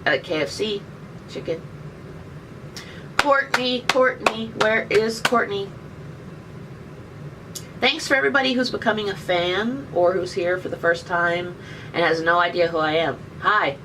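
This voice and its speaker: A woman's voice: medium pitch, unhurried, pauses often. Chatty woman streaming from afar. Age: 30s. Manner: chatty, jokey, answering viewer questions. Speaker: live streamer. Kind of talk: casual live stream chat. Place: indoors by a window. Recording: distant webcam mic, faint background noise.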